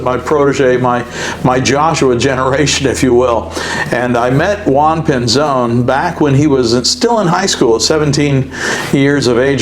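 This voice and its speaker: A man hosting a faith-based interview, speaking earnestly with frequent pauses and filler sounds. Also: medium pitch, slight background noise, rough recording. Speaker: host and ministry president.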